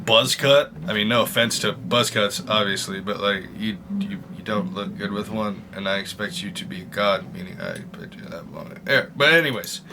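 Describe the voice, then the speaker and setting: A man's voice: on the low side, moderate pace, hardly any pauses, background noise. A man hosting a casual reaction video, commenting in loose, slangy, sweary talk as if chatting with buddies. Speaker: YouTube reaction host, streamer.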